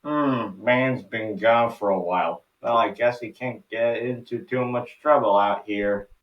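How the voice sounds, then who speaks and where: A man's voice: medium pitch, a little slow, reverberant, recorded from a distance, lo-fi sound, natural voice. A man playing a hearty, jovial grandpa. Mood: musing. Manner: jovial, encouraging. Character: cheerful, hearty grandfather on a summer trip.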